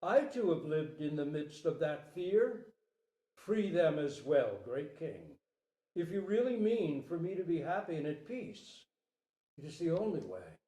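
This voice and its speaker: Man voicing a solemn, mellow, noble deer king. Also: a little high, sing-song. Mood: earnest pleading. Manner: solemn, measured, pleading with dignity. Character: noble male deer king, selfless, grave, resolute. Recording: roomy, echoing live recording.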